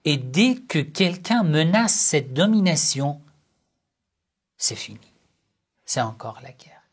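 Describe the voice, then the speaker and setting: Slow, measured male religious teacher. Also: on the high side, very animated, breathy edge. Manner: poses rhetorical questions and answers them; measured, short phrases with pauses. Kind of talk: slow religious lesson on family life.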